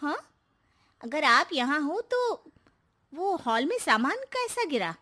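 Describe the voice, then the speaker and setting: A woman's voice: sits high, sing-song, slow pace, pushed and bright, heavily acted. A woman playing a timid, nagging younger Indian woman in a comic cartoon story, pleading and complaining. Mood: nervous. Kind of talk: comic Hindi animated story acting.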